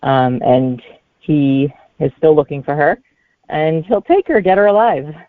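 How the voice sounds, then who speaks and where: Halting low-voiced woman on a tinny line. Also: no room sound. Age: 40s. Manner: halting, hesitant delivery. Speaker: guest author. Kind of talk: casual interview answers. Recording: narrow, tinny phone-like line.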